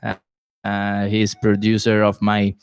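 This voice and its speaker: Casting: Italian man in his late twenties, live podcast host, easygoing and slow, searching for English words. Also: fairly low pitch, clean tone, dry recording, studio quality. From Italy, Rome. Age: late 20s. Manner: relaxed, chatty, searches for English words with many fillers. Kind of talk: live solo Q&A stream.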